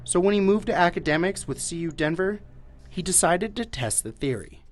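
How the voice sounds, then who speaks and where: A man's voice: sits high, lively intonation, normal speed, faint music behind. An American man narrating a scripted television news report as a reporter.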